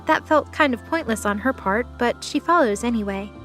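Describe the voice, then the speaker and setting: A woman's voice: a little high, lively intonation, normal speed, a bit bright, soft background music. A woman narrating a scripted light novel audio drama.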